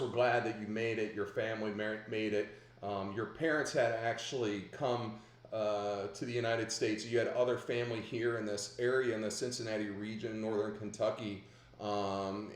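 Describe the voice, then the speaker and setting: A man's voice: medium pitch, roomy sound. A man hosting a video call interview, asking long rambling questions with many restarts. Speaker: host.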